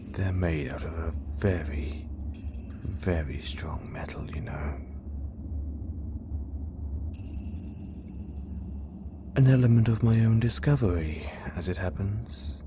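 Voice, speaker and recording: A man's voice: sits low, vocal fry, exaggerated voice. A man playing a whispering, obsessed supervillain. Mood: gloating. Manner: slow, hushed, gloating whisper. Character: obsessive, menacing male supervillain holding hero captive. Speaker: voice actor. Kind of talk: audio roleplay.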